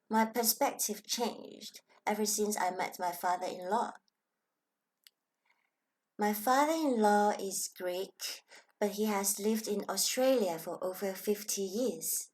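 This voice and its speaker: A woman with a Hong Kong Chinese accent speaks slowly and gently in a reflective personal talk. Her voice sits at a middle pitch, unhurried and thoughtful, now and then sinking to a soft whisper. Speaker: channel host.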